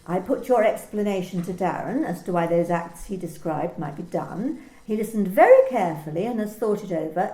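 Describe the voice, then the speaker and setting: An amateur actress playing a formal, officious female workplace manager in a radio play, measured and precise. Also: medium pitch, dark tone, reverberant, close to an everyday voice, poor audio.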